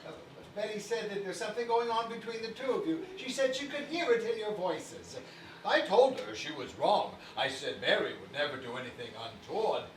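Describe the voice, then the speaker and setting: A man playing a gentle, guilt-ridden old father in a stage play, hesitant and apologetic, recorded far off with noise. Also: high-pitched, expressive, fairly quick. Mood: anxious, confessing. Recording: echoey stage from far off, noisy.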